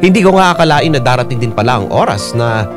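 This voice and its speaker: A man's voice: medium pitch, lively intonation, quick pace. A man reading a listener's letter as scripted first-person storytelling for a radio drama. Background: piano music.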